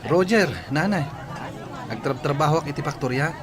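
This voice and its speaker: A man's voice: fairly high pitch, fairly quick, a crowd in the background, natural voice. A man playing a polite, helpful young factory worker in a radio drama, with an Ilocano accent. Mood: polite, modest.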